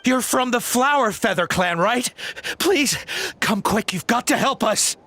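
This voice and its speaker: A man playing an excitable, ingratiating travelling merchant in a video game, with a dramatic delivery. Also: very high-pitched, a little slow, soft background music, full character voice. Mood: panicked. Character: excitable male travelling merchant, pleading and salesman-like.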